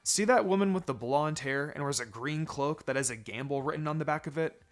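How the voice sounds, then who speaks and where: A man's voice: on the high side, brisk, close to an everyday voice. Man reading as an easygoing male ninja mentor. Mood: casual.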